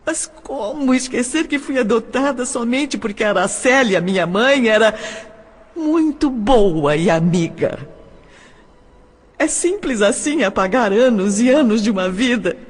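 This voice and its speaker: A woman's voice: on the high side, animated, a little slow, husky. A woman voicing a wounded, insecure adopted orphan girl in an audio drama, with a Brazilian accent and an emotional, plaintive delivery. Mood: hurt, sorrowful. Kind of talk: Portuguese-language audio drama acting. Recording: long hall reverb.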